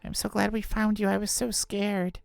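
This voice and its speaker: Woman voicing an earnest, expressive young girl friend. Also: medium pitch, moderate pace, gravelly, no echo, faint music behind, natural voice. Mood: relieved, worried. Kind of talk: live visual novel voice acting.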